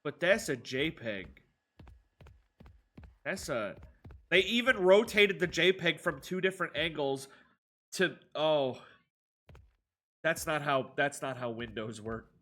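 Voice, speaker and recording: A man's voice: on the high side, lively intonation, takes it slowly, long pauses, pushed and bright. A man streaming a game with a stuffed-up nose, chatting sarcastically with his viewers as he plays. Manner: sarcastic, rambling banter with chat. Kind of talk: live gameplay stream, reading chat.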